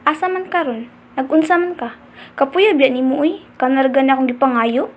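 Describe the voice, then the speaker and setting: A woman putting on a high, whiny girlfriend. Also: normal speed, close to an everyday voice. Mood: annoyed. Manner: whiny, scolding. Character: irritable young woman, Jose's girlfriend.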